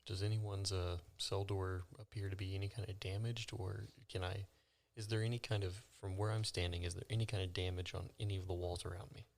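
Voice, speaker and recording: A man's voice: deep voice, level tone, fairly quick, gravelly. A man talking casually and informally as a player in a tabletop game, often questioning. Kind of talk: casual improvised game chat.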